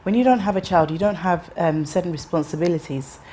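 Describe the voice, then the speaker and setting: A woman in her thirties, raised in England, tells her story in a low, steady voice. She speaks reflectively, pausing with ums and restarting phrases. A faint hiss of noise sits behind the recording. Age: 30s. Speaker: interviewee. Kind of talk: personal life story interview.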